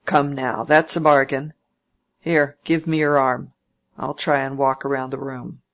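A domineering old landowner with plain country speech, read aloud by an audiobook narrator. He grumbles and bargains in a louder, harder voice than the narration around him. His tone is crafty and scolding. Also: medium pitch, little pitch movement, measured pace. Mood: gruff bargaining. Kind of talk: audiobook character reading.

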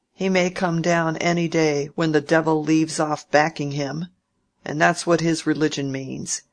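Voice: medium pitch, natural voice. A reader voicing a grumbling, domineering old male landowner who talks bluntly in a country way. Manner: blunt country speech, bargaining and scolding. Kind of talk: audiobook character reading.

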